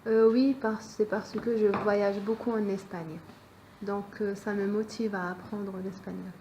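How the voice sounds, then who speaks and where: A woman's voice: medium pitch, level tone, measured pace, mellow, slight background noise. Young woman from France answering briefly. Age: 20s. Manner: plain, brief answers with fillers. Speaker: interviewee. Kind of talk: short interview answers.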